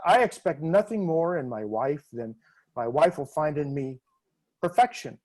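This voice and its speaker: A man playing a pompous vain young gentleman. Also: on the high side, animated, normal speed. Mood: haughty. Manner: pompous and haughty, lingering on words. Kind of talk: dramatic reading over video call. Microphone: video call.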